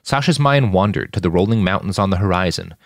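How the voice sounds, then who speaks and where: A man's voice: low-pitched, animated, fast, creaky, no echo. A man reading scripted fiction as a podcast narrator, in a dramatic storytelling style, recorded up close.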